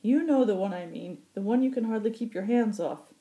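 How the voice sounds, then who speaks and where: A woman's voice: medium pitch, a little fast, warm tone, lo-fi sound, voice pushed up, natural voice. A woman voicing a small, wiry old male pilot in an audiobook, cheeky, chatty and salty, forever teasing. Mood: lewd, teasing.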